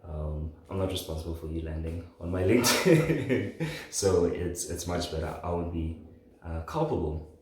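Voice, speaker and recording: Casting: man, late 20s, low-pitched, informal podcast storyteller, recorded in a heavily echoing room. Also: moderate pace, noisy background. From southern Africa, born in Zambia. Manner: informal, conversational, often says "like". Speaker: podcast host. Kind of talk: casual solo podcast storytelling. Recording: very echoey room.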